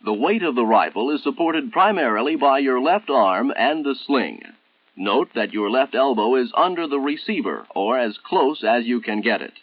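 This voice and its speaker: An American man narrating a 1960s military training film in a formal, measured, instructional manner, on an old film soundtrack. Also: medium pitch, lively intonation, no echo, phone-quality sound, lightly acted.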